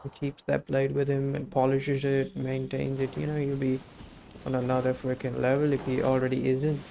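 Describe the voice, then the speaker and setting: A man's voice: mid-range voice, completely flat delivery, normal speed, vocal fry, noisy background. A man streaming a video game, giving casual, slangy live commentary in a laid-back way. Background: game music.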